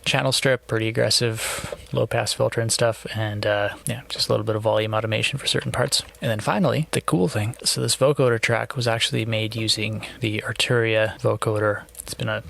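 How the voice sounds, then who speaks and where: A man's voice: lowish voice, fairly even intonation, fairly quick, gravelly, few pauses, a bit bright. A man giving a casual, loose tutorial as a music producer, informal and a little self-deprecating.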